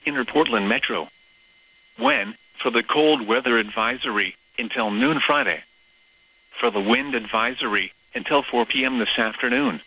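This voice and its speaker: A synthetic male voice reading scripted weather bulletins as a radio announcer, in a formal, measured, list-like delivery. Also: mid-range voice, bright, forceful tone, rough recording. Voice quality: synthetic text-to-speech voice.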